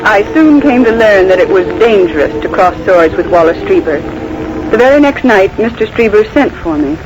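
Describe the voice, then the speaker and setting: An actress playing a guarded, quietly defiant young woman with cool, polite manners in a 1940s radio mystery. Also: mid-range voice, expressive, moderate pace, loud music behind the voice, close to an everyday voice. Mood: grave, resolute. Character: guarded, principled young female script reader, quietly defiant. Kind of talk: 1940s radio acting with first-person narration. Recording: noisy vintage 1940s radio broadcast.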